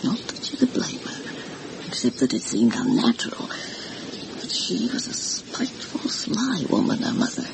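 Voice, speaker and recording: A woman's voice: rumbling low, huge pitch swings, moderate pace, vocal fry, full character voice. A woman playing an anxious, gossipy older housekeeper in a vintage radio horror drama, confiding and deferential as she tells her story dramatically. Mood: gossipy, pitying. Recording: noisy vintage radio recording.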